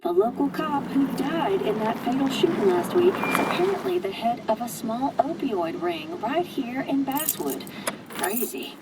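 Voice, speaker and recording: An actress playing a chatty small-town radio news presenter in a video game, breezy and conversational. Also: female voice, high voice, recorded from a distance, noisy background. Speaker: radio host. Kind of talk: local radio news chat.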